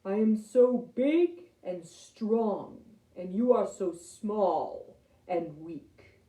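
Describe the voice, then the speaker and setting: A woman voicing a huge, imperious storybook lion king, booming and pompous as she commands. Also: lively intonation, extremely slow, dark tone, reverberant, far from the mic, rough recording. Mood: boastful, menacing. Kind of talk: children's storybook character voice.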